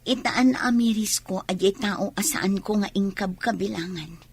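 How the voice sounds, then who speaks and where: A woman's voice: medium pitch, moderate pace, gravelly, husky. An actress playing an ambitious, regretful young pregnant village woman in an Ilocano radio drama. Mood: envious, regretful.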